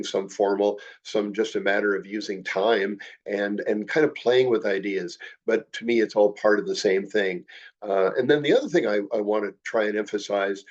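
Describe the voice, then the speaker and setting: A man's voice: on the low side, highs cut off. An older man with a head cold, sounding a little nasal, giving a slideshow talk on model railroading with many uh and um fillers. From Canada. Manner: measured, frequent uh and um fillers. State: congested from a cold, slightly nasal. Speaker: model railroad clinic presenter.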